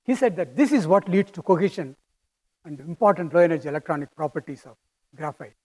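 A middle-aged man with a strong Indian accent giving a physics lecture, speaking in a halting, thoughtful way with fillers and restarts. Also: on the high side, lively intonation, darkish tone. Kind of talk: academic lecture.

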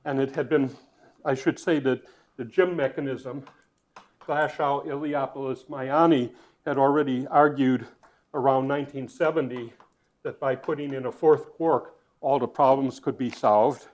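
A man giving a university physics lecture, thinking aloud as he writes, with fillers, restarts and swings in loudness. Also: mid-range voice, steady tone, unhurried. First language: not Dutch.

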